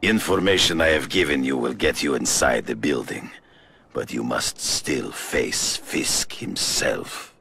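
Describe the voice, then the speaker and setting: An actor playing a proud, menacing big-game hunter villain in a video game, with a deep, raspy voice and formal, grandiose, deliberate speech. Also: male voice, pushed and bright, full character voice. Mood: grave, resigned. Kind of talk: dramatic video game voice acting.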